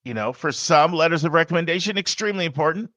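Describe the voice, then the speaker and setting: A man hosting a podcast, speaking loudly and emphatically in a casual monologue with frequent asides to the listener. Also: a little high, animated, quick pace, dry recording. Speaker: podcast host and college admissions advisor.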